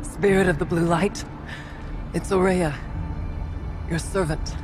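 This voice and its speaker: A woman's voice: fairly low pitch, steady tone, takes it slowly, over music. A woman playing a devout, earnest shaman in a video game, speaking with reverent, pleading devotion to a spirit. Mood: reverent, anxious. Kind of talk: video game cutscene acting.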